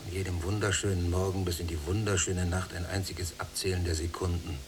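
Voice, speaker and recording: Low-voiced, despairing young prisoner played by an actor. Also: male voice, little pitch movement, fairly quick, background noise, natural voice. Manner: brooding, complaining, sometimes muttering. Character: younger male prisoner, restless, despairing, resentful. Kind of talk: literary radio drama acting.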